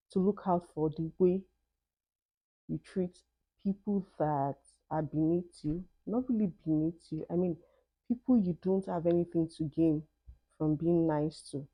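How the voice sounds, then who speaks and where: A woman's voice: fairly low pitch, a little slow, long pauses, slightly rough, no echo, muffled recording. A young Nigerian woman with a Nigerian accent, casually reading out questions and answering them in a vlog, often adding "I mean". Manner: thoughtful, conversational, with "I mean" asides. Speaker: vlogger.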